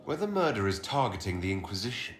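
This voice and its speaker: An actor playing a sincere, steady leader of a fantasy order in a game, direct and attentive. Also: male voice, lowish voice, fast talker, roomy sound, slight background noise. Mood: concerned.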